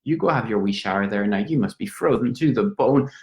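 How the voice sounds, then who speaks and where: A man's voice: fairly low pitch, lively intonation, brisk, echoey room. A man voicing a chatty, fussing older landlady, rambling and motherly.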